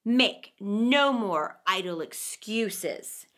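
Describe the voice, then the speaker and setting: A woman's voice: medium pitch, lively intonation, very slow, fairly bright tone, pitched below the performer's own voice. A woman voicing a fierce, hungry male wolf with a growling, bullying manner in a children's storybook. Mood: menacing, accusing.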